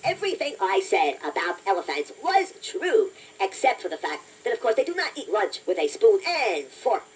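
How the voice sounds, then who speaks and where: A woman voicing a chatty, playful toy robot that hosts a children's quiz, encouraging the listener in a deliberate, self-deprecating way. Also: mid-range voice, sing-song, breathy edge. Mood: playful instructing. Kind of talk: scripted children's quiz narration. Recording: noisy toy cassette playback, distant from mic.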